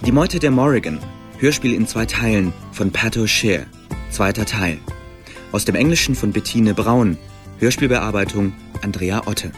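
Low male announcer over faint music. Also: animated, normal speed. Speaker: announcer reading credits. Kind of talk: scripted radio announcement.

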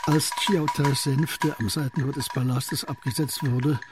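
Narrator, male, mid register, scripted radio drama storytelling over clear music bed. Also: mid-range voice, steady tone, unhurried.

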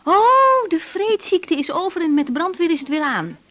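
An actress playing a polite young family doctor, calm and gently steering, in a naturalistic radio drama with slightly dull sound. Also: female voice, very high-pitched, moderate pace, full character voice. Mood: attentive.

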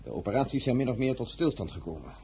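A man's voice: medium pitch, big pitch swings, fast talker, warm tone, breathy edge, exaggerated voice. A male actor in a radio drama playing a courteous, scheming political brother-in-law with a polite, persuasive, formal manner. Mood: persuasive, insistent.

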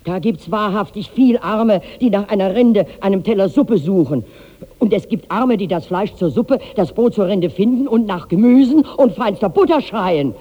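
Radio drama, female actor as insistent, chattering servant; animated delivery, muffled old recording with long reverb. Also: mid-range voice, normal speed, close to an everyday voice. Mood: puzzled, indignant. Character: fussing, plain-spoken female servant to a rich master. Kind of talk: 1960s German radio drama acting.

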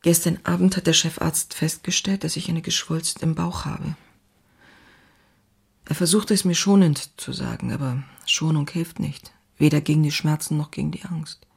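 An actress playing a passionate, anxious woman writer in her thirties, reading her diary in an intimate, confessional way with a husky, breathy voice. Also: deep voice, fairly even intonation, normal speed, dry recording, clean studio sound, full character voice. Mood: sober, fearful. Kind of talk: dramatic literary diary reading.

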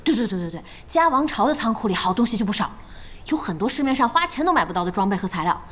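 An actress playing an impulsive, bossy young woman in charge in an audio drama, anxious and eager, with a blunt, expressive delivery. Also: medium pitch, quick pace, slight background noise. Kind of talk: Chinese audio drama acting.

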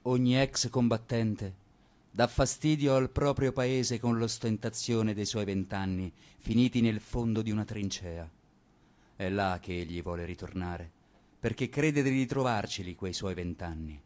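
A male actor as a fallen soldier and father with a low voice, speaking in solemn stage monologue. He is weary and disillusioned, declaiming gravely and with deliberate weight. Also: a little mellow, close to an everyday voice. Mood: bitter, grave.

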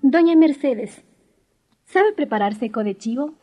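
An actress playing a naive, boastful young newlywed housewife in a radio drama. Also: female voice, high voice, expressive, measured pace. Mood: sweet, asking. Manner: sweet, wheedling, then smugly dismissive. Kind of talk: 1990s radio drama acting. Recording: dull lo-fi radio recording, music behind.

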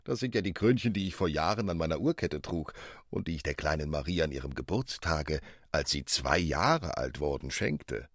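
A man voicing a gruff old godfather in a fairy tale, blustering and scornful in his exclamations. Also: rumbling low, lively intonation, normal speed, dry recording, clean studio sound, heavily acted. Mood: amused scorn. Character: gruff, mocking old godfather, a court official.

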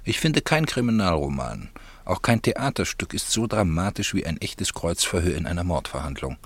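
An actor playing an urbane, idle and curious crime novelist with a musing, literary manner in a German radio drama. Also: male voice, deep voice, big pitch swings, moderate pace, husky, dry recording, full character voice. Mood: musing, keen.

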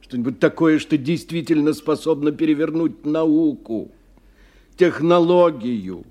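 An actor in a radio play voicing a worldly, forthright engineer who talks expressively, with wry and probing curiosity. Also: male voice, fairly high pitch, a little slow, dark tone. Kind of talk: 1990 Russian radio play dialogue.

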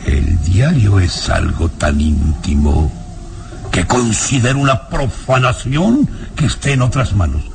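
An actor playing a secretive, formal baron in an old radio serial, evasive and deliberate in manner. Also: male voice, lowish voice, expressive, vocal fry. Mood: obsessive, firm. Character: secretive, obsessive baron, grieving husband who hides the truth. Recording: noisy old radio drama recording.

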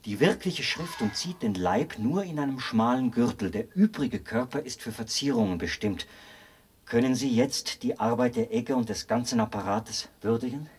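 A man plays a fanatically meticulous military officer in a radio drama. He lectures in a mid-pitched voice with proud, fervent precision, over faint background noise. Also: moderate pace, lightly acted. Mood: proud, insistent. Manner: pedantic, explanatory, fervent. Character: zealous, meticulous male officer proudly explaining an execution machine. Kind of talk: German radio play acting.

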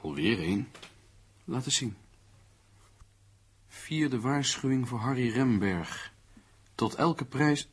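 A man's voice: medium pitch, expressive, slow pace, long pauses, husky. An actor in a radio play playing a worried, sardonic man with an emphatic, condescending way of talking. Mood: wry, pondering. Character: worried, sardonic man lecturing an amateur sleuth.

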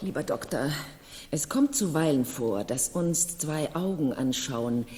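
An actress in a 1970s German radio play, playing a proud, high-strung middle-aged noblewoman with a haughty, confessional manner. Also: animated, measured pace, dark tone. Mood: confessional, wistful. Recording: reverberant room, vintage radio drama.

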